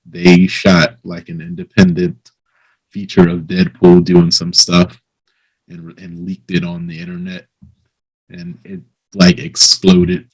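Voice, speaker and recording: A man hosting a casual interview, talking informally in a somewhat halting way. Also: low-pitched, a little mellow, breathy edge, poor audio. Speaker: interviewer, host.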